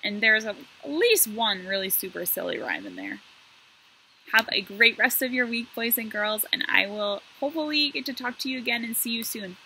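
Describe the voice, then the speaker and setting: A woman in her thirties talking to first graders as a guest story reader, explaining things simply and in an animated way. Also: fairly high pitch, moderate pace, slight background noise, low-quality recording. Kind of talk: addressing young children, explanatory read-aloud intro. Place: outdoor covered patio.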